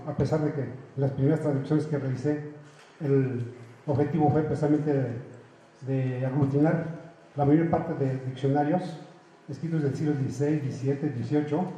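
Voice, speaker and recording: A man's voice: a little high, warm tone, heavy reverb, slight background noise, poor audio. A Mexican man, a native Otomi speaker who teaches at a university, reading aloud and then explaining earnestly, often correcting himself. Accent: Mexican Spanish. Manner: earnest, with frequent restarts and self-corrections.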